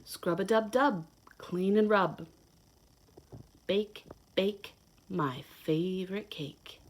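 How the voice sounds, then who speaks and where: Female read-aloud host, unhurried, mid-pitched, playful and sing-song, explains things simply for small children. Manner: playful, expressive, sing-song rhyme reading. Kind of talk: picture book read-aloud with asides to children.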